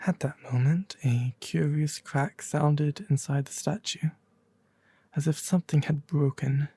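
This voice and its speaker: A man playing a melancholy, genteel owl demon prince, reading a storybook aloud in a soft, refined, breathy ASMR voice. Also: a little high, steady tone, measured pace, no echo. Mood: gentle, sombre. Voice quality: breathy, soft, often half-whispered. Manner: refined, gentle, formal diction.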